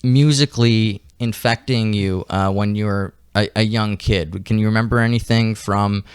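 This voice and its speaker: A man's voice: on the low side, fairly even intonation, normal speed, smooth, no echo, studio quality. A man hosting a podcast, asking casual, curious questions with some filler words. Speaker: podcast host.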